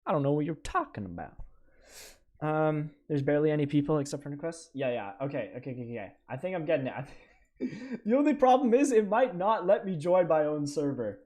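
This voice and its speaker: A young man from Canada, live-streaming a flight game and chatting with viewers in a stammering, excitable way. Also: fairly high pitch, a little fast. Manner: animated, stammering, talks to chat. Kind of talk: live gameplay stream chat.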